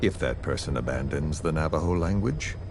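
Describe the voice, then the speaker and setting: An actor playing a calm, scheming villain who speaks in a slow, measured and quietly threatening way. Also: male voice, a bass voice. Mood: menacing. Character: cold, menacing male villain, calm and scheming. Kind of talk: game cutscene dialogue. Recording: noisy game audio recording.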